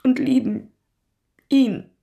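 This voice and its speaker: An actress playing a wounded, proud former dominatrix in a radio drama, jilted and set on revenge. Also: female voice, high-pitched, fairly even intonation, takes it slowly, vocal fry, dark tone, dull audio, heavily acted. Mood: bitter, heartbroken.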